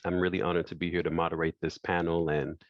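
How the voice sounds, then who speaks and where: Deep-voiced man moderating a video call. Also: level tone, no room sound. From France. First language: French. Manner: halting, repeats phrases. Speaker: panel moderator. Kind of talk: online panel discussion. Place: indoor room by a wall. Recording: dull lo-fi video call audio.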